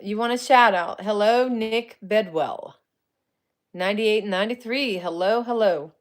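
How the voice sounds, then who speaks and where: A woman's voice: medium pitch, a little slow. Woman in her 40s doing a live stream, chatty and giggly, answers comments, folksy grammar. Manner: chatty and warm, laughs often, greets viewers by name; colloquial grammar. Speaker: psychic medium and paranormal live streamer. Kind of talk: casual livestream chat answering viewer comments.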